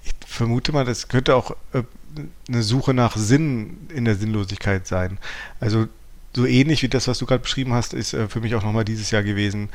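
A man's voice: mid-range voice, brisk. A man hosting a podcast, talking reflectively and searching for his words as he thinks aloud. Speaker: podcast host. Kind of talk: reflective conversational discussion.

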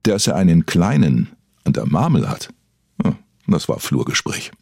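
A man's voice: low-pitched, wildly swooping pitch, normal speed, gravelly, darkish tone, slight background noise, heavily acted. A man playing a self-serious investigative influencer, narrating a satirical true-crime podcast in a solemn, dramatic way. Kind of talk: scripted documentary narration, satirical.

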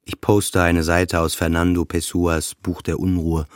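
Weary depressed patient, deep-voiced radio play monologue, halting phrases, slow delivery. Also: male voice, level tone, no room sound, clean studio sound. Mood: flat, weary. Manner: halting short phrases, repeats words, often drops to whispers. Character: weary, depressed male psychiatric patient telling his story.

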